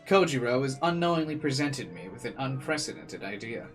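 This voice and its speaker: A man's voice: medium pitch, sing-song, fairly quick. A man playing through a visual novel, reading the text aloud and adding casual commentary. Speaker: let's player. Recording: faint game music behind.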